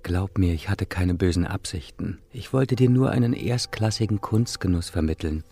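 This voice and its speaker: An actor playing a divorced man who coaxes and reassures in a soft-spoken, earnest, persuasive way in a German radio play. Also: low voice, moderate pace, husky. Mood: pleading persuasion. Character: coaxing divorced man urging a woman to stay.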